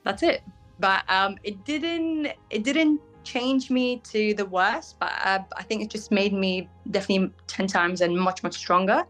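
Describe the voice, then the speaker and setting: A young woman from Syria with a British accent and Arabic as her first language, frankly answering interview questions and often pausing with "um" as she looks for words. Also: medium pitch, a bit bright, soft background music. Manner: frank, fills pauses with "um", searches for words. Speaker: guest, bridal fashion designer.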